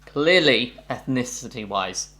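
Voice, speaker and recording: A man's voice: medium pitch, expressive, a little slow, sharp tone. A British man co-hosting a reaction show in a casual chat, reading out facts in a dry, matter-of-fact way. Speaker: co-host of a reaction channel.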